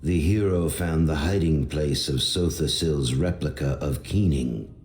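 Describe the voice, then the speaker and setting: An actor playing a solemn storyteller, narrating a fantasy video game in a measured manner. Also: male voice, very deep voice, darkish tone, reverberant. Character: solemn male storyteller of heroic fantasy tales.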